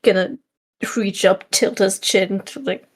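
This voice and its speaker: A woman's voice: mid-range voice, fairly even intonation, measured pace, slightly rough. A woman playing a tabletop role-playing game, chatting casually with the others.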